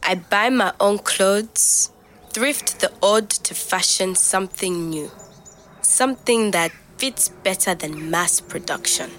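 A woman playing a reflective young migrant, speaking measured, introspective fragments as a scripted audio diary. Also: medium pitch, fairly bright tone, a little hiss or hum. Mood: searching, melancholic. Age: young adult. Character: reflective young African migrant woman recording private night-time notes.

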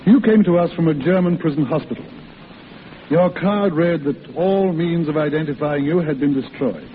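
An actor playing a kindly middle-aged hospital doctor, avuncular, deliberate and probing, in a 1940s radio drama. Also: male voice, fairly high pitch, close to an everyday voice. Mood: earnest, probing. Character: kindly, fatherly head doctor of a rehabilitation hospital. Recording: tinny, hissy old radio broadcast.

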